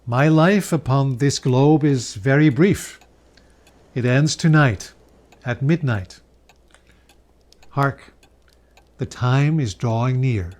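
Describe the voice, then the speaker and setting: Man voicing a stern, slow, solemn old spirit. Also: mid-range voice, a little mellow, close to an everyday voice. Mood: grave, foreboding. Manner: declamatory, commanding. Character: solemn aging male ghost, stern and grave. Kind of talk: dramatic reading of a Victorian ghost story.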